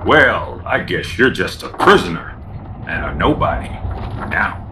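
An actor playing a brash, taunting prisoner with a casual, needling manner in an audio drama. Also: male voice, low-pitched, very animated, slow, full character voice. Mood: mocking. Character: brash, taunting male prisoner, old acquaintance. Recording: noisy ambience behind the voice.

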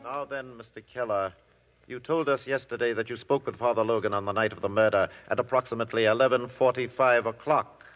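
An actor playing a sharp male Crown prosecutor in a 1950s radio drama, probing and sarcastic in his questioning. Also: medium pitch, fairly even intonation, fairly quick, faint music behind, natural voice. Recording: vintage radio broadcast, long hall reverb.

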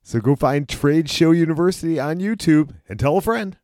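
A man's voice: a little high, moderate pace, music in the background. A man hosting a podcast, introducing an episode and reading a sponsor message in an upbeat, enthusiastic way. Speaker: podcast host.

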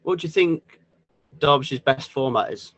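A man's voice: medium pitch, moderate pace, fairly bright tone, no echo. Jokey man hosting a podcast. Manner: jokey, laughs a lot, rambling questions. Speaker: podcast host. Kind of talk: casual podcast interview. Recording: dull, lo-fi, dry sound.

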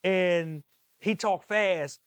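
A man from the Maryland area with a Southern accent, hosting a podcast interview, talking fast and enthusiastically. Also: high voice, dry recording. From Maryland, DMV area. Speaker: podcast host.

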